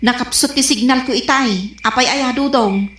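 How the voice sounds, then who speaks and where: A woman's voice: high voice, moderate pace, a bit bright. A woman playing a flustered, defensive wife and dutiful daughter in a radio comedy drama, with an Ilocano accent. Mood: evasive, defensive. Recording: echoey, faint background noise.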